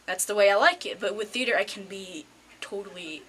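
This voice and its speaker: A woman's voice: medium pitch, a little fast, a bit bright. A teenage female theater student giving reflective, casual answers in an interview. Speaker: high school theater student. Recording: faint background noise.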